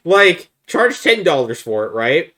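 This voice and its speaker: A man's voice: on the high side, big pitch swings, unhurried, a bit bright. A man hosting a casual podcast chat about video games, making his points in an informal, persuasive way. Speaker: podcast host.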